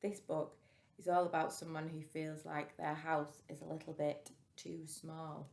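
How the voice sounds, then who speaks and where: A woman's voice: deep voice, completely flat delivery, a little slow, creaky, a little mellow. A woman hosting a children's story time, reading a rhyming picture book aloud expressively.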